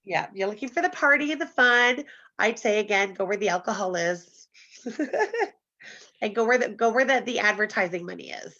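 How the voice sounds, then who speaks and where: A woman hosts a relaxed group chat over a video call, talking at length and laughing easily. Her voice is bright and forceful. The call audio is a little dull and muffled. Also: mid-range voice, moderate pace. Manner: chatty, laughs often. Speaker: host.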